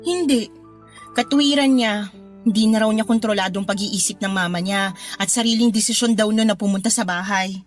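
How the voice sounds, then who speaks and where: A woman's voice: medium pitch, moderate pace, soft background music, close to an everyday voice. An actress playing a hurt, self-doubting housewife and mother in a radio drama, with a plaintive way of talking. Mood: hurt, guilty. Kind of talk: Tagalog radio drama acting.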